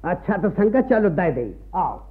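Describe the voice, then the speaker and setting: An actor playing a sly, pious-talking village priest and moneylender, drawling unctuously with a rural north Indian accent in a radio play. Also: male voice, sits high, big pitch swings, unhurried. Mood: oily, benevolent. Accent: rural north Indian Hindi. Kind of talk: radio drama acting. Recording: tinny, phone-like archive radio recording.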